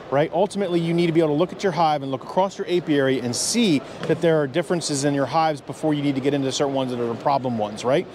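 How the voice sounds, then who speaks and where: A man in his forties with a loud voice, giving an energetic sales pitch at a convention hall booth on a clip-on mic. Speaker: beekeeping vendor. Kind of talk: product pitch. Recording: faint hall background noise.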